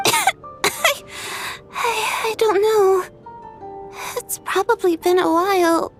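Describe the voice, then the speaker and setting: Game casting: a kind little girl, frail yet plucky, high unhurried voice over faint music. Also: sing-song, exaggerated voice. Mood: weak, reassuring. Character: kind, frank young girl, sickly but brave.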